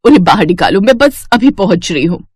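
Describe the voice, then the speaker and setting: A woman's voice: mid-range voice, very animated, brisk, darkish tone, slightly rough, dry recording, studio quality. A woman voicing an anxious, practical middle-aged wife and mother in an audio story, earnest and urgent. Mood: frantic, pleading.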